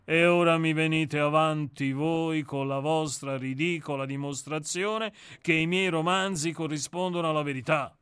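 A man's voice: fairly high pitch, a bit bright, smooth, dry recording. Korbes, a man reading as a menacing novelist. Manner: grandiloquent, mocking. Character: cynical, menacing male novelist, coldly theatrical. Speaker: reader of a radio play. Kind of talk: dramatic reading.

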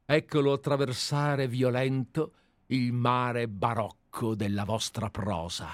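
A man's voice: mid-range voice, fairly even intonation, slow pace, no echo, studio quality. A man reading aloud as an obsessive, deferential admirer who has tracked a writer for years, in a dramatized radio play reading. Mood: reasoning. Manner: earnest, deferential, methodical. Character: obsessive, fawning male admirer-sleuth. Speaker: reader.

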